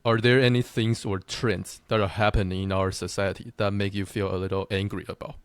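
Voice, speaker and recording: Low-voiced Taiwanese man interviewing. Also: flat delivery, a little fast, clean tone, dry recording. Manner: brief, affirming with short remarks. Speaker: interviewer, host. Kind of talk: casual interview questions.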